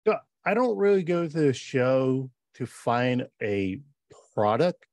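A man in his forties with a middle-pitched voice, explaining earnestly in quick bursts on a casual podcast. He talks into a close podcast mic from a home office, and the sound is dry. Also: very animated, darkish tone, no room sound. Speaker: programmer and podcast co-host. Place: home office on a video call. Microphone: podcast mic with headphones.

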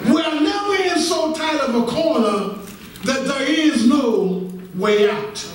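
American guy preaching baptist sermon, really high pitched and emphatic, half singing, echoey church from far away w congregation noise. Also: animated, takes it slowly, a crowd in the background. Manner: emphatic, shouting and half-singing delivery with repeated refrains. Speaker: associate minister preaching. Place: large church sanctuary, at the pulpit.

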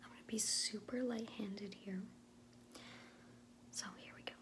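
A woman's voice: mid-range voice, fairly even intonation, background noise, close to an everyday voice. A woman in her twenties playing a sassy, condescending makeup artist in a whispered ASMR roleplay. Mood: condescending, dismissive. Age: 20s. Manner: sassy, condescending, hesitant valley-girl phrasing with drawn-out words. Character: sassy, condescending young female makeup artist, dismissive and defensive. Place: small indoor room at home, in front of a door.

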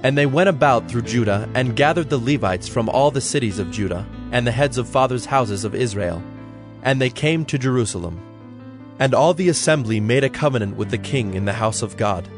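A man narrating scripture for a dramatized audio Bible in a measured, solemn reading style. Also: medium pitch, expressive, music in the background.

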